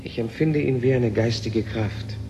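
A male actor as an intense, brooding physicist in an old radio drama. His voice sits at a medium pitch. He speaks in an echoing space, on a recording with clear noise. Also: a little slow, warm tone, close to an everyday voice. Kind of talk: 1970 German radio drama acting. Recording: echoey hall, noisy old radio recording.

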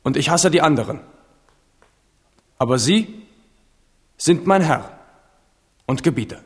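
An actor in a German radio play playing a proud, steadfast royal heir, speaking in an earnest, formal, declamatory way. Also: male voice, a little high, expressive, takes it slowly, slightly rough, heavily acted. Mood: earnest, frank. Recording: reverberant hall.

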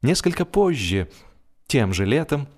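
Man narrating a humorous story, medium pitch. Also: very animated, measured pace, darkish tone. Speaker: audiobook narrator. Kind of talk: humorous scripted literary narration.

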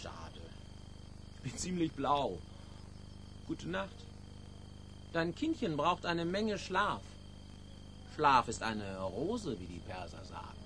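A tipsy, insinuating stranger at night in a radio drama. The voice is fairly high and extremely animated in pitch, loose and teasing. Faint insect noise is behind it. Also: male voice, slow, long pauses, full character voice. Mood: sly, tipsy. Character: tipsy, prying, sly male stranger on a dark hotel veranda.

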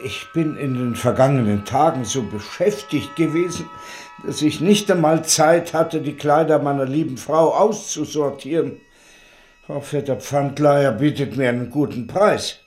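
An actor playing a self-important, aggrieved innkeeper in a radio drama, complaining in a sanctimonious tone. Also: male voice, medium pitch, moderate pace, darkish tone, faint music behind, close to an everyday voice. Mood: aggrieved, smug.

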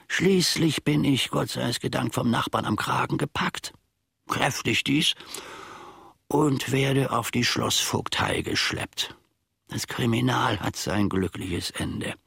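An actor playing a grumbling, self-important old manservant in a German radio play, husky and gravelly, telling his grievances in halting, mock-formal phrases. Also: medium pitch, a bit bright, dry recording, clean studio sound, heavily acted.

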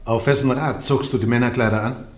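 A man acting a church inquisitor in a radio drama. His voice sits at medium pitch, and his questioning is measured, pointed and insistent. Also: fairly even intonation, lightly acted. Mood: probing. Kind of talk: German radio play acting.

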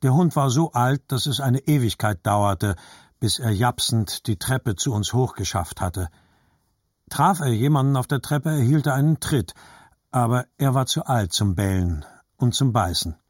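A man's voice: mid-range voice, expressive, measured pace, a little mellow, no room sound, clean studio sound. A man narrating scripted literary childhood memories as a grown grandson in an audio drama. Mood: reflective.

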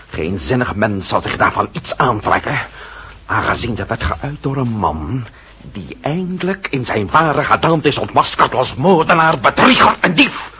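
A man's voice: fairly low pitch, bright, forceful tone, slightly rough, full character voice. An actor playing a mocking, self-assured nobleman in a period radio drama, speaking with ornate, icy politeness.

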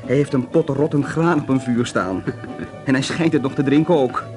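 An actor playing a deferential hotel employee who gossips politely about the guests in a Dutch radio drama. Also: male voice, mid-range voice, normal speed, over music, close to an everyday voice. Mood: amused gossip.

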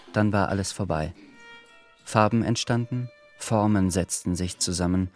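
Low-voiced male narrator over music. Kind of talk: scripted literary radio drama narration.

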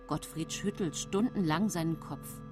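A woman's voice: sits low, unhurried, music in the background. An actress playing a composed, calculating woman in her thirties who narrates her own story in a radio drama, controlled and matter-of-fact. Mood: composed, confiding. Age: 30s.

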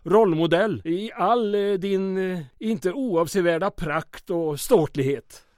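A man acts out an anxious small-town mayor in an old-style radio play. His voice sits high for a man and is recorded close and dry. He speaks earnestly and deferentially, persuading with florid, theatrical turns of phrase. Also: a little mellow, no room sound, natural voice. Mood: flattering, careful. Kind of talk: old-time radio comedy drama acting.

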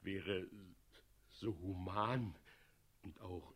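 A man's voice: sits low, very slow, a bit bright, husky, full character voice. An older man acting in a German radio play as an evasive, obsequious old father and official who falters as he speaks. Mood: wheedling.

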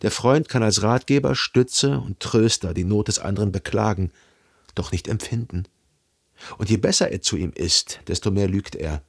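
A lovelorn narrator in a literary radio play, lowish husky voice, thoughtful and measured. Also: male voice. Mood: wry reflection. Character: reflective, lovelorn literary narrator recalling his own past.

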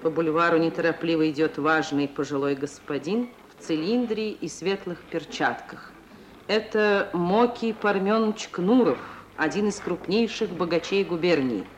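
A narrator reading scripted stage scenes for a radio drama in a measured, literary storytelling manner. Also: medium pitch. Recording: old radio recording with faint hiss.

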